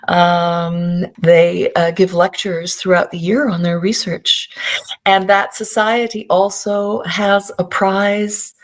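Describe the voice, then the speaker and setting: A woman with a Canadian accent, a podcast guest telling history with enthusiasm between many pauses and fillers. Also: on the low side. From Scotland. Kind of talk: interview chat.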